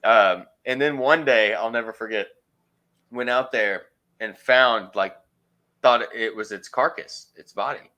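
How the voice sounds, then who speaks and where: A man's voice: mid-range voice, a little slow, pushed and bright. A man chatting casually as an interview guest, rambling and searching for words with frequent ums. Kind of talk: casual chat.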